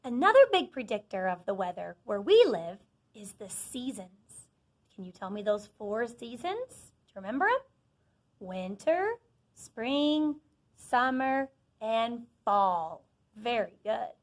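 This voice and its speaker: A woman speaks as an elementary school teacher to young children. Her voice is high and swings widely in pitch as she prompts and encourages her listeners. The sound is dry, with hardly any room in it. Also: sing-song, slow pace, dry recording. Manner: encouraging, asks questions and answers them. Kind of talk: read-aloud lesson for young children.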